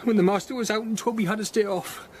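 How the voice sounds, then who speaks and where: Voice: mid-range voice, fairly even intonation, fast talker, slight background noise, close to an everyday voice. An actor playing a frightened young stable boy in a radio drama, pleading and deferential. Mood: anxious, pleading.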